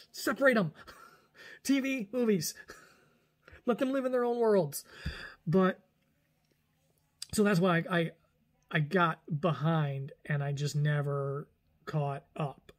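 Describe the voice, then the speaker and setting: A man in his forties chatting casually to camera at home, rambling with frequent restarts and self-corrections. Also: high voice. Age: 40s. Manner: rambling, animated, lots of restarts and hand gestures. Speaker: vlogger. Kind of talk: casual talking-head vlog. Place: small room indoors at home.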